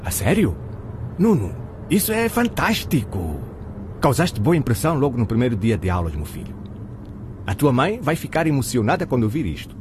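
Man acting middle-aged father, curious, cheerful, lilting animated voice, radio drama, noisy street ambience. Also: medium pitch, moderate pace, lightly acted. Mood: proud, delighted. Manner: chatty, eager, probing. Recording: clear outdoor traffic noise behind. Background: car and street ambience.

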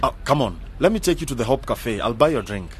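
A man's voice: medium pitch, very animated, fast, slight background noise, lightly acted. An actor playing a coaxing, disillusioned young man in his twenties in a radio drama. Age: 20s. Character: coaxing young boyfriend, disillusioned jobless graduate.

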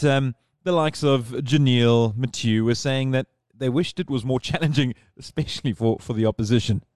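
A man's voice: mid-range voice, darkish tone, dry recording, clean studio sound. A man hosting a podcast in casual chat, rambling and digressing as he talks. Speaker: podcast host.

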